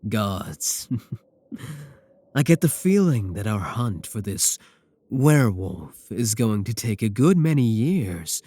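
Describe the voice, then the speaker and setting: A man playing a possessive werewolf professor in an intimate ASMR roleplay, speaking deliberately with coolly affectionate menace. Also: fairly low pitch, very animated, no echo, heavily acted. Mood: possessive, adoring. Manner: slow, deliberate, soft chuckles, pet names. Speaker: voice actor in a roleplay audio.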